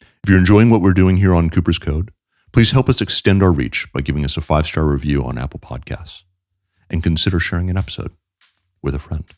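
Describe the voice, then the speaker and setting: Very deep-voiced male podcast host. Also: a little mellow, no echo, studio quality. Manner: measured, asks questions. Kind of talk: interview podcast.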